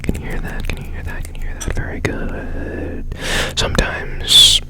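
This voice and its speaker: A man's voice: moderate pace, close to an everyday voice. Whispering, strange, soothing repairman played by a man. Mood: soothing, focused. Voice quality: breathy whisper. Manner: gentle, repetitive reassurance. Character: strange, curious, soothing male repairman of broken machines. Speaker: ASMR performer. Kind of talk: whispered ASMR roleplay.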